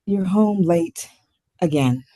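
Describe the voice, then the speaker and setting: Woman reading mellow, weary, guarded wife. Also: fairly low pitch, expressive, takes it slowly, slight background noise. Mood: cool, guarded. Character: weary, guarded wife, a doctor in a failing marriage. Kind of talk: table read of a script.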